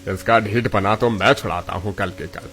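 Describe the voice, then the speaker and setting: A man's voice: fairly low pitch, normal speed, fairly bright tone, close to an everyday voice. A man voicing an arrogant, jealous, scheming restaurant owner in a cartoon story, taunting and boastful. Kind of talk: Hindi cartoon story dialogue.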